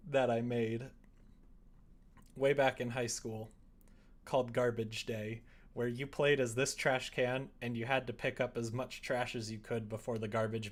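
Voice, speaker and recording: A man in his late twenties chatting casually on a live stream, giggly and given to muttering to himself at his desk microphone. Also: mid-range voice. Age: late 20s. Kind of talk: casual live-stream chat while writing. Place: small indoor room at a computer.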